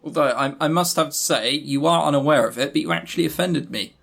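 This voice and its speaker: Teasing, higher-voiced man on a podcast. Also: quick pace, gravelly, fairly bright tone, slightly rough. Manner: joking, teasing, mock-serious. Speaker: podcast co-host. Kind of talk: casual podcast chat.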